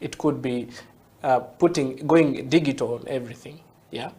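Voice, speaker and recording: Ugandan guy, guest on interview, explains things slow and patient, keeps saying yeah?. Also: medium pitch, dark tone. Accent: Ugandan English. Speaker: guest, tech entrepreneur.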